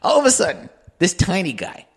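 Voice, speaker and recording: A man co-hosting a podcast, telling comedic stories in a casual, profane, animated way and laughing often. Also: sits high, a little fast, slightly rough. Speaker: podcast co-host.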